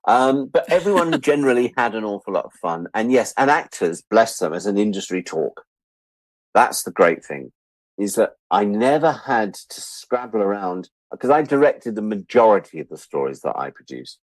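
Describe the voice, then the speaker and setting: A middle-aged British man chatting casually as an interview guest, telling stories in an animated, self-deprecating, joking way. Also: lowish voice, moderate pace, no room sound, rough recording. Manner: animated storyteller who acts out remembered exchanges. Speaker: interview guest, audio drama producer and director. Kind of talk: casual anecdotal interview talk.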